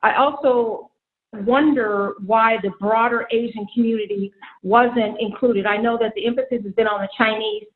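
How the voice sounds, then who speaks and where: A woman's voice: a little high, steady tone, moderate pace. A woman from Seattle, a guest on a talk panel, speaking earnestly and outspokenly. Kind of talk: public affairs panel talk. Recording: tinny phone-like remote line.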